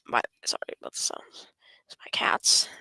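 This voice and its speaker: A woman's voice: sharp tone, no echo. A woman streamer reading visual novel dialogue and narration aloud expressively, stumbling over some phrases.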